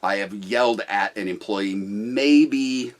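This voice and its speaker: Loud, blunt man talking business. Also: on the low side, slow pace. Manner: blunt, forceful storyteller. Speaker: business owner talking about management. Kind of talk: casual talk.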